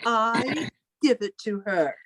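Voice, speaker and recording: A woman's voice: fairly high pitch, expressive, takes it slowly, fairly bright tone, no echo, heavily acted, low-quality recording. A woman playing a stern, controlling older sister in an old-time radio melodrama, speaking formally with a clipped, menacing manner. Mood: cold, controlling. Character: stern, controlling, lonely older sister guarding her family's money.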